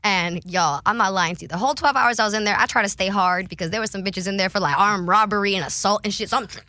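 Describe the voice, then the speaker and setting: A young woman guest on a casual podcast, telling candid stories in an animated way, swearing freely and often laughing. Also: medium pitch, fast, dry recording, studio quality.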